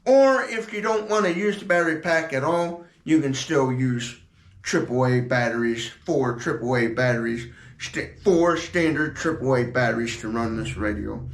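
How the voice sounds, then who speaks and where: A man giving a casual product demonstration in a halting, repetitive way, explaining as he goes. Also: mid-range voice. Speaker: product reviewer. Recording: echoey room.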